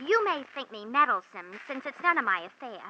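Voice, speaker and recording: Bright, brassy gossiping maid. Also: female voice, high voice, very animated, brisk, full character voice. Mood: confiding. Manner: mock-genteel wording mixed with slang. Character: brassy, gossipy, easily offended female personal maid. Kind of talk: 1940s comic radio drama acting. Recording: dull, noisy vintage 1940s radio.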